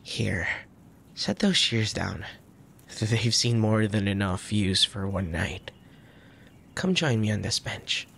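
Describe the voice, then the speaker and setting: A man playing a soft, tender young crown prince in an intimate ASMR roleplay, speaking formally with a gentle, hesitant manner and a troubled air. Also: fairly low pitch, animated, slow pace, gravelly, a little hiss or hum, heavily acted. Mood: apologetic, troubled. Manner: formal old-fashioned wording, gentle, hesitant.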